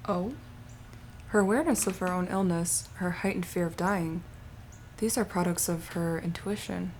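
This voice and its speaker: Horror manga dub: actress as calm new doctor, courteous and inquisitive, lowish voice, faint noise behind. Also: female voice, normal speed, lightly acted. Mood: calm curiosity.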